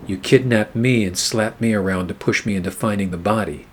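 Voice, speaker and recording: Noir radio play detective, male actor, very low and slightly husky, weary, sardonic, measured. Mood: wry, measured. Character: weary, wry hard-boiled male private eye narrating his case.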